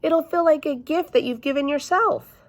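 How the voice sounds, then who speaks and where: A woman's voice: sits high, voice pushed up, close to an everyday voice. A woman voicing a patient, firm, kindly male schoolteacher in a storybook, speaking in an instructive way. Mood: encouraging. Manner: measured, instructive.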